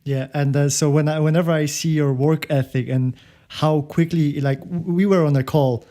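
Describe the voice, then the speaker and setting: A man from Poland with a Polish accent, hosting a casual interview in a halting way, often repeating and restarting his words. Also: fairly high pitch, fairly even intonation, mellow. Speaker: podcast host.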